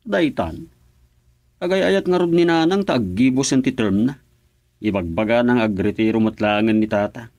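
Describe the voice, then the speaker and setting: An actor playing a husband who calmly reassures his wife in a radio drama. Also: mid-range voice, moderate pace, no room sound, close to an everyday voice. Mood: reassuring.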